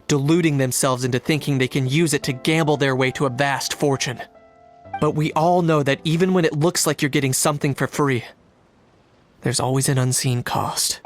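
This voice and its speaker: A man voicing a composed, blunt, principled young knight in a video game, speaking in a measured, matter-of-fact way. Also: medium pitch, close to an everyday voice. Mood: frank, disdainful. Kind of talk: scripted game dialogue. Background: music.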